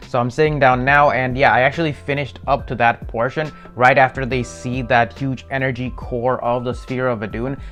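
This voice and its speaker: A man hosting a reaction video, talking casually and informally in slangy commentary. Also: medium pitch.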